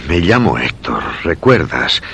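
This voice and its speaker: An obsessive, mistrustful husband in radio suspense. His voice is very low and swings expressively, over faint noise. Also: very animated, a little slow, pushed and bright, heavily acted. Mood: defensive. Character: troubled husband Héctor, suspicious and obsessive. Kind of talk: Spanish radio suspense drama dialogue.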